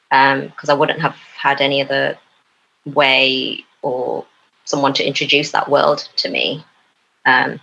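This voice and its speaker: Young woman from Newcastle upon Tyne, a poet and podcast guest, low bright voice, hesitant and full of fillers. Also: creaky, slightly rough, highs cut off. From Newcastle upon Tyne, England. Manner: hesitant, many pauses and fillers. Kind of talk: casual interview chat.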